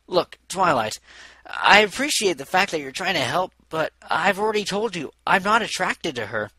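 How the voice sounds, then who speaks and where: A man voicing a guarded, matter-of-fact female unicorn in a measured manner. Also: high-pitched, sing-song, sharp tone, husky, no room sound, pitched above the performer's own voice, full character voice. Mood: concerned, firm.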